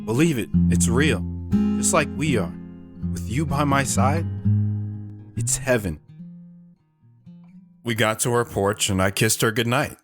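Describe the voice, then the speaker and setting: A man's voice: medium pitch, a bit bright. A man playing an earnest, lovestruck lone wanderer recounting his life in a reflective, sentimental audio drama style. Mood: loving, nervous, then wistful, reflective. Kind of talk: dramatic first-person audio drama narration.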